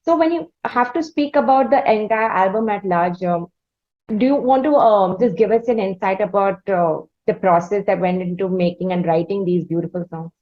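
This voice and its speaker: A woman hosting a music interview, effusive and rambling, with frequent hesitant pauses. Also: mid-range voice, muffled recording. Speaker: interviewer, host.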